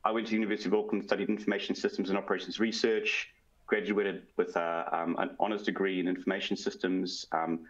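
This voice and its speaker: A man's voice: low voice, level tone, fast, muffled recording. A man in his forties with a South African accent, a guest answering interview questions over a video call from home, fluent but with frequent ums and uhs. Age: 40s. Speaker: guest, product strategy manager. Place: home room. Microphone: headset on video call.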